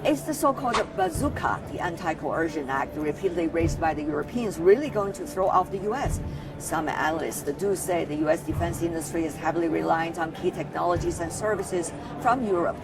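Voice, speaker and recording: A woman's voice: on the low side, expressive, a little fast, recorded from a distance, over music. A woman news host delivering scripted narration in a news report.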